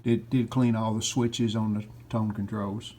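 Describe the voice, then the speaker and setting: A man's voice: on the low side, flat delivery, a little slow, a little mellow, a little hiss or hum. A man talking casually through a hands-on restoration in a plain, matter-of-fact way, in short clipped sentences. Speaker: host of a vintage radio repair video. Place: indoors at home.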